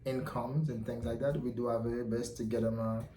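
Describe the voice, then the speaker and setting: A man answering interview questions in a confident, relaxed way. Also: medium pitch, a little fast, a little hiss or hum. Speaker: guest, mortgage company founder. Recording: echoey room with faint ambience.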